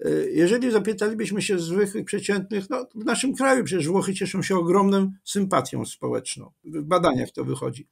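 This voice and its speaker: An older man, a history professor, with a strongly gravelly, husky voice pitched somewhat high for a man. He explains at length in a measured, digressive way, full of fillers and restarts. He speaks into a webcam from a home study. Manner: measured, digressive, with many fillers and self-corrections. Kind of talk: lecture-style interview answer.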